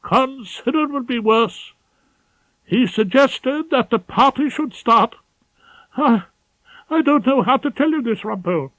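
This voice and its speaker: A man's voice: very high voice, expressive, unhurried, long pauses, fairly bright tone, no room sound, pitched above the performer's own voice, full character voice, low-quality recording. A man voicing a pompous, prim, scandalised middle-aged male head barrister in an audiobook, with formal and hesitant phrasing. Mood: scandalised, aggrieved. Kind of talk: audiobook character voice.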